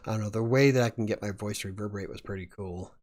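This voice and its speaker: A man with a fairly low voice hosts a loose live chat. He rambles, laughs between thoughts and speaks. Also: a little mellow. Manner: rambling, chuckling often. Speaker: livestream host.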